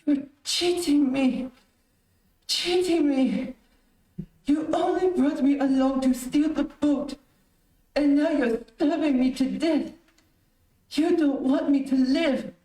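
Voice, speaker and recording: Radio drama castaway female actor: dying convict, bitter and pleading, slow mellow delivery, roomy distant sound. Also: sits high. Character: dying, parched male convict navigator, bitter and vengeful. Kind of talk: old-time radio mystery acting. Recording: roomy, distant amateur stream audio.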